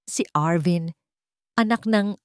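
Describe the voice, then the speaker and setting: A woman with a Filipino accent narrates a dramatic scripted story with emotive, deliberate phrasing. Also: fairly low pitch, dry recording, clean studio sound.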